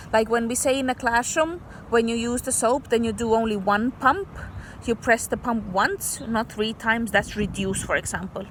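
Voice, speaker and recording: Female schoolteacher for storybook read-aloud: unhurried, higher voice, patient simple explanations, noisy background. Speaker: teacher reading aloud to young children. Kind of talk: slow, expressive picture-book read-aloud with simple explanations.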